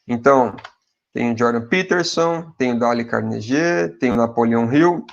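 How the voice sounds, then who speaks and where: A man in his forties with a Brazilian Portuguese accent, a university professor giving conversational advice to graduate students. He speaks straight to his viewers and keeps tagging his sentences with quick checks for agreement. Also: mid-range voice, gravelly, highs cut off. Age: 40s. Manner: speaks directly to viewers as "pessoal".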